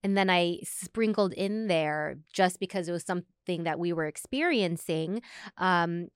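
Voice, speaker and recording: Thoughtful woman, smooth lower voice. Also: a little slow, dry recording, studio quality. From United States. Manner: reflective, thinks aloud with many fillers. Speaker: podcast co-host, mother. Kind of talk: casual chat.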